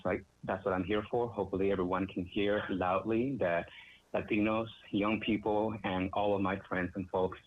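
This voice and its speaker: Brief: low-voiced man, earnest and a bit halting, heard over a thin, tinny phone line with a faint hiss. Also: fairly even intonation. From El Salvador. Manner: earnest, repetitive, slightly halting. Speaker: public commenter calling in. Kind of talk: public comment speech.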